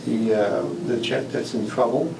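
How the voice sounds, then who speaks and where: A man's voice: mid-range voice, measured pace, vocal fry. A man in the audience at a talk, casually asking the speaker questions. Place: club meeting room. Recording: echoey room, distant mic, background noise.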